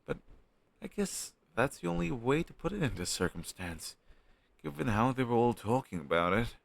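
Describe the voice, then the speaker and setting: A man's voice: medium pitch, sing-song, creaky. A man playing a flustered young man shyly hiding a crush on his best friend, speaking hesitantly and sheepishly in an intimate audio roleplay. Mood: flustered, confessional. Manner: hesitant, sheepish, halting conversational delivery. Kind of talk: intimate one-sided conversational audio roleplay.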